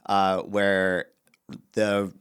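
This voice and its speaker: A man talking casually as a podcast guest, quick and animated with lots of fillers. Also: low voice, clear, resonant tone, no room sound, studio quality. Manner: fast, animated talker with many fillers. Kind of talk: casual interview conversation.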